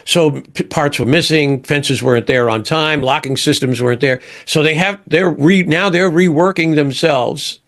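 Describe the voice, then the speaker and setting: A man answering an interview question in a halting way, often stopping and restarting his phrases. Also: a little high.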